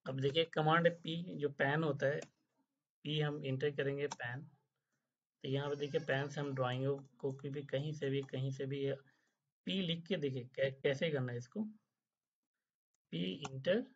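A man teaching drafting software in a screen-recorded tutorial, explaining step by step, checking in often, and mixing English phrases into his Hindi. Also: on the high side, fairly even intonation, a little slow, long pauses, highs cut off, a little hiss or hum. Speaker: drafting instructor. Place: voice-over over screen capture.

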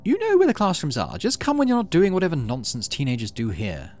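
A man's voice: fairly high pitch, huge pitch swings, fast, a little mellow, voice pushed up. A brusque woman chief engineer from a sci-fi game, played by a man, in a raised voice. She is weary, dismissive and condescending, with music playing behind. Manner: curt, condescending.

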